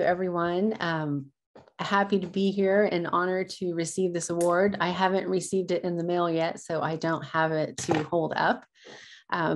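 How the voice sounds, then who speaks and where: A woman from California whose first language is Spanish, giving a personal acceptance speech, hesitant with many ums. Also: on the low side. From California, USA. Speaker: award recipient, assistant professor.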